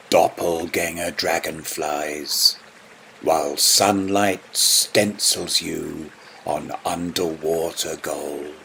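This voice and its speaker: A man reading a poem aloud with solemn, measured phrasing. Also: rumbling low, vocal fry, pushed and bright, a little hiss or hum. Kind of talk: poetry reading.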